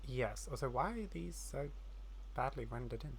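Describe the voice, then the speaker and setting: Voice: medium pitch, animated, moderate pace, vocal fry, a little hiss or hum. A gaming streamer giving casual, offhand let's play commentary with playful asides.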